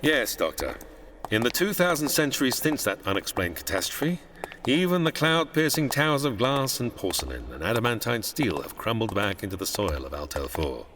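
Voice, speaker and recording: A man's voice: medium pitch, sing-song, normal speed, noisy background, lightly acted. A man playing a proud, learned older scientist who lectures formally and grandly in a radio sci-fi drama. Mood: grave, proud. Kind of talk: old-time radio sci-fi drama acting.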